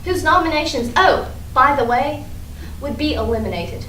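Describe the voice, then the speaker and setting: A woman acting a proud, blunt, guarded high school girl with an emphatic, dry manner in a staged play reading. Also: animated, moderate pace, a bit bright. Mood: scornful. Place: indoor room at a staged reading. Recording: roomy, distant mic, faint noise.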